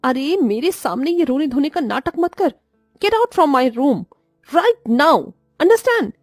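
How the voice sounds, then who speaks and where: A woman voicing a haughty, quick-tempered young city daughter-in-law in a cartoon, scornful and mixing in English. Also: high-pitched, animated, no echo, clean studio sound, soft background music. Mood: furious. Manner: scornful, sharp-tongued, mixes in English. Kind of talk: cartoon moral story voice acting.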